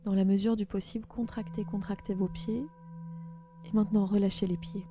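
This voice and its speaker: Female meditation guide, calm and gentle, mid-pitched, spaced phrasing, music underneath. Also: muffled recording. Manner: calm, gentle, slow instructions with pauses. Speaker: podcast host and yoga nidra guide.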